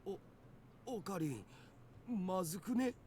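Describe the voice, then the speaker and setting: Nerdy young hacker, high voice, lively intonation, laid-back slang, visual novel acting. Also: male voice, normal speed, slight background noise. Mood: casual, puzzled. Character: casual, geeky young male hacker sidekick.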